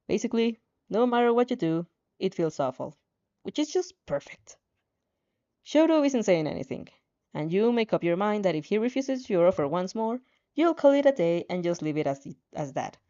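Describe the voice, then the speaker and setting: A woman reading fan fiction aloud in an animated way, now and then stumbling and rereading a phrase, on a slightly dull recording. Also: mid-range voice, moderate pace. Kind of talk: animated story reading with asides.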